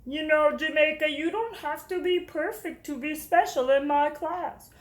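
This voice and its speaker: A woman voicing a kind, steady substitute teacher in a storybook, speaking slowly and gently. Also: high-pitched, voice pushed up. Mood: gentle, reassuring. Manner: slow, measured, gentle. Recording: echoey room.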